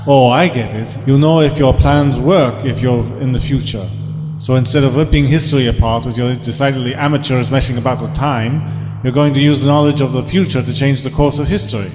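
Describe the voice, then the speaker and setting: A man's voice: medium pitch, fairly even intonation, lightly acted. Man playing a cocky adventurer in echoing reverb. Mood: mocking, defiant. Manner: wry, sardonic, quick to retort. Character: cocky, sarcastic male time-traveller's companion, defiant. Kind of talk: sci-fi audio drama acting. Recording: echoey reverberant space, music behind.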